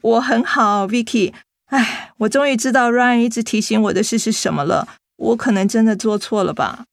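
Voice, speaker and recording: An actress playing a gentle, guilt-ridden young woman in a Mandarin radio drama, apologetic and hesitant, with a Taiwanese accent. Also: a little high, moderate pace, gravelly, no room sound, clean studio sound. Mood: rueful amusement.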